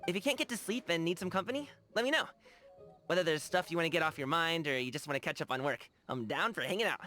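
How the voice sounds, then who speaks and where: Game role: cheerful, earnest young guy, casual and slangy, very lively pitch, music behind. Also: full character voice. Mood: friendly, caring. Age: young adult. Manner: casual, chatty, slangy, eager. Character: cheerful, earnest young male lion-dancer and tea lover. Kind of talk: animated video game character dialogue.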